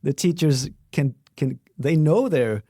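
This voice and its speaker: A man's voice: on the high side, lively intonation, a little slow, mellow, breathy edge, no room sound, clean studio sound. A man talking casually as a panelist in a podcast discussion about his professional field.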